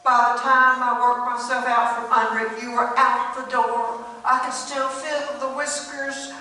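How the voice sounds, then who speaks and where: A woman's voice: a little high, level tone, measured pace, bright, forceful tone. A woman voicing a hungry, neglected talking cat in a radio play, coolly accusing and sly, with dry, pointed delivery. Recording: echoey hall from far off, faint hum.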